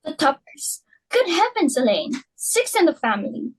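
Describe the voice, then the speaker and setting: A woman's voice: sits high, very animated, normal speed, rough recording. A young woman in an amateur dramatic reading, playing a fussy, status-hungry society hostess and mother in a flustered, theatrical way. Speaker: student actor.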